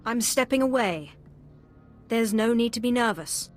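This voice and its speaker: An actress playing a composed, courteous Sith apprentice in a video game, with a poised, measured manner. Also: female voice, a little high, expressive, faint music behind. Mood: polite.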